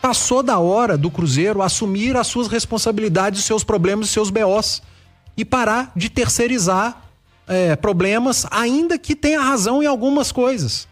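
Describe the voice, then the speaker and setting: A male sports radio pundit with a fairly high voice, talking fast and breaking off to restart his phrases. Faint music plays underneath. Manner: animated, restarts mid-phrase.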